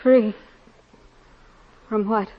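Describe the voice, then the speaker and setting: A woman's voice: mid-range voice, steady tone, drawn-out words. An actress playing a devout, earnest young woman with a solemn manner, in 1940s radio acting style. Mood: anxious, questioning. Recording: muffled 1940s radio recording.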